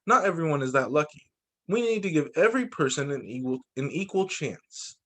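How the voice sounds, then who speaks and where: A man reading a picture book aloud to children as a teacher, in an expressive, dramatizing way. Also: on the high side, brisk. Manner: expressive, dramatizing quoted lines.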